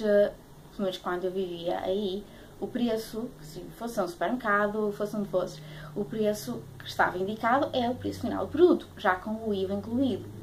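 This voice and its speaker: A woman in her late twenties with a European Portuguese accent, talking casually to camera as a vlogger, hesitant and searching for words. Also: mid-range voice. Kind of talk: casual talk to camera, airing a pet peeve. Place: small room at home, indoors. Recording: clearly noisy home webcam audio.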